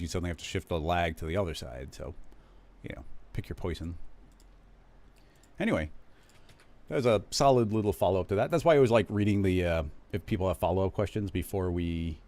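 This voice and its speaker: A man hosting a live stream, casually talking viewers through a software tutorial, rambling and correcting himself as he goes. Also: low-pitched, expressive, fast. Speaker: live stream host teaching 3D animation software.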